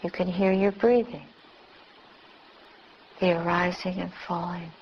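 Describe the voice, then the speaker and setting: Female meditation teacher, very slow delivery in short spaced phrases, captured on a muffled recording with noticeable background noise. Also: fairly low pitch, fairly even intonation. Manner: unhurried short phrases with long pauses. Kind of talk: guided meditation.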